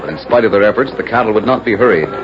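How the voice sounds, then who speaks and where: Low-voiced vintage radio storyteller. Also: male voice, expressive, rapid-fire. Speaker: narrator. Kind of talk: 1940s radio drama scripted narration. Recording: muffled 1940s radio recording with music. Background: music.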